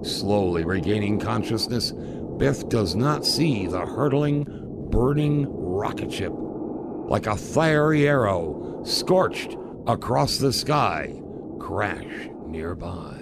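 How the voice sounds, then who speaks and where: A man's voice: lowish voice, lively intonation, slow. A man narrating a scripted retro radio serial as its announcer, in a dramatic, theatrical way. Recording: clear background noise under voice.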